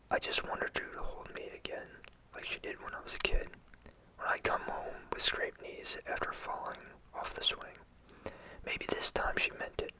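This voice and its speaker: A storyteller whispering a first-person horror story in a hushed, intimate and steady way. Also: low-quality recording.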